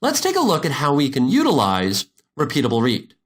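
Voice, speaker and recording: Guy doing a software tutorial, pitch moves around a lot, informal explainer walking thru it step by step. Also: huge pitch swings, a little fast. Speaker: instructor.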